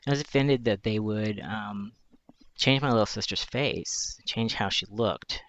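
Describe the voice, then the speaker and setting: Soft-spoken man with a high voice. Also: lo-fi sound. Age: 40s. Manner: thoughtful, searching, with pauses and restarts. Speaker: journalist. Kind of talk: reflective personal monologue.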